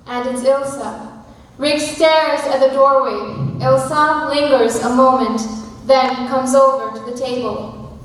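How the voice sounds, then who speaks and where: A woman's voice: high-pitched, fairly even intonation, slow, a bit bright. A woman reading scripted narration for a stage play. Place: large hall at a school stage event. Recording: echoey hall from far off, faint noise.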